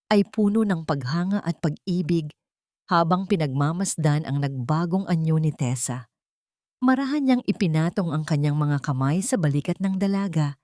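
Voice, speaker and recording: Woman reading a dramatic love story, solemn n measured, slightly low voice, really dry close sound. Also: a little mellow, dry recording. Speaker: story narrator. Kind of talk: scripted dramatic audio story narration.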